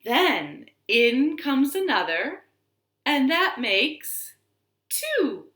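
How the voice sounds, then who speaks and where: A woman reading stories and rhymes aloud to young children in an expressive, deliberate way, recorded in a living room. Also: high voice. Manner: expressive, deliberate, asks the listeners questions. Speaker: children's librarian storyteller. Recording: roomy, echoing living-room sound.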